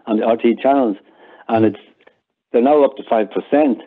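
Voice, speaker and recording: A man's voice: mid-range voice, fairly quick, breathy edge, phone-quality sound. An older man with an Irish accent chatting casually as an interview guest, explaining things hesitantly and repeating words as he goes. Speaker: interview guest, member of amateur audio theatre group.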